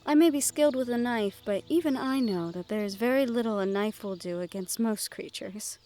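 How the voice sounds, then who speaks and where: A woman playing a sheltered young noblewoman in a fantasy audio roleplay, gentle, wistful and quietly willful, with formal phrasing. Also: a little high, brisk, faint music behind, natural voice. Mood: earnest, teasing. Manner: formal speech with few contractions. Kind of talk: fantasy audio roleplay acting, addressed to the listener.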